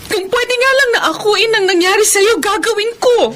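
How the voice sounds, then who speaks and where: A devoted young elder sister in a radio drama. Her voice sits very high, earnest and full of feeling, over a faint noisy backdrop. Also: moderate pace, a bit bright. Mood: tearful, pleading. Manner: earnest, emotional, pleading delivery. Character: young working student, devoted self-sacrificing elder sister. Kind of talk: Filipino radio drama acting.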